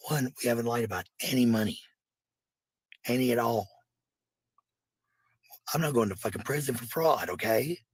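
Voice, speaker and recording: A man's voice: medium pitch, moderate pace, pauses often, breathy edge, no echo, low-quality recording. A man telling personal stories in a casual, blunt, defensive way, with some swearing. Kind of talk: casual personal storytelling and venting.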